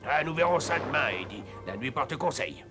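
A man voicing a gruff but soft-hearted old grandfather in a French cartoon dub, wry and grumbling. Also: medium pitch, very animated, moderate pace, music in the background, close to an everyday voice. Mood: grumbling, reluctant. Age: older. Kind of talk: French animated series dub.

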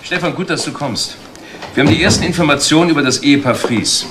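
A man's voice: a little high, fast talker, close to an everyday voice. A man acting a matter-of-fact police detective in a German crime radio drama, speaking tersely and in a businesslike way. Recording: noisy retro radio play recording.

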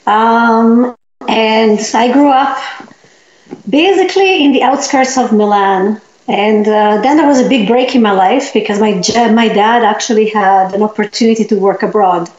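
A woman from Italy telling her life story as a guest in a casual interview, in a lively, upbeat way. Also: fairly high pitch, moderate pace, echoey room, highs cut off.

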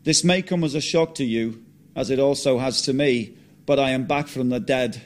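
A man voicing a man revived from the dead who breaks from composure into shouting rage. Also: medium pitch, moderate pace, natural voice. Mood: composed. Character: grieving, furious man revived from death.

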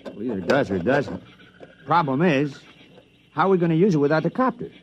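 An American actor playing an anxious, questioning expedition member in a 1950s radio drama. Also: male voice, fairly high pitch, big pitch swings, a little fast. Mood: concerned. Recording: dull old-time radio recording.

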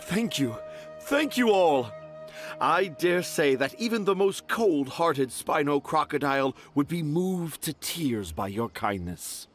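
A man's voice: fairly high pitch, big pitch swings, takes it slowly. An actor playing a theatrical, poetic middle-aged children's author and father in a fantasy video game. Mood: grateful. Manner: theatrical, florid, poetic speech. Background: game background music.

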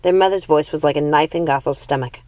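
Lady reading storybook narration, lowish pitch, no room echo. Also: fast, warm tone. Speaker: audiobook reader.

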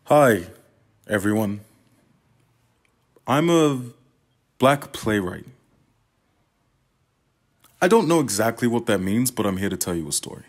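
An actor playing a wry, self-deprecating playwright in a radio play monologue, conversational, deadpan and hesitant. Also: male voice, fairly low pitch, measured pace, pauses often, full character voice. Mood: wry, addressing audience. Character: wry, awkward, self-deprecating male playwright telling his story.

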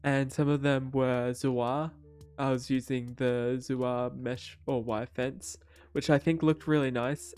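A man's voice: medium pitch, darkish tone, smooth. A young man, sounding a little nasal from a cold, casually narrating his building video with lots of fillers and restarts. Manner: rambling, many fillers and restarts. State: stuffy nose from a cold. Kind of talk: casual voice-over commentary.

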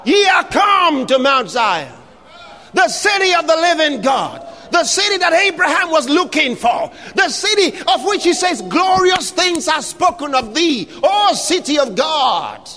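A man preaching an impassioned sermon, shouting scripture with long drawn-out phrases. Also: extremely high pitch, very animated, in a large hall.

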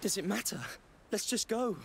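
An actor voicing an anxious, observant young man in a grim video game adventure, under loud ambience. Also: high-pitched, normal speed, vocal fry, slightly rough, exaggerated voice. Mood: subdued, weary. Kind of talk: dramatic video game acting.

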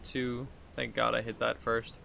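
Man, thirties, medium pitch, casual game commentary, rambling self-talk, reading prompts aloud, background noise. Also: monotone, a little slow, gravelly. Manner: rambling, talking to himself, reading quest text aloud. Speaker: gaming video host.

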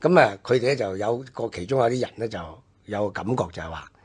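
A man with a Hong Kong Cantonese accent explaining history on a radio programme in a halting, discursive way. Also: lowish voice, breathy edge. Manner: halting, discursive, with drawn-out phrase-ending particles. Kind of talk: unscripted explanatory history talk.

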